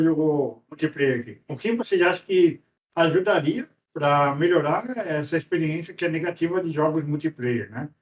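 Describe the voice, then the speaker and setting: A man's voice: a little high, distant mic. Man asking questions from off-mic. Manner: asks questions to the panel. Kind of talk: casual podcast chat. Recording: roomy, off-mic, lo-fi.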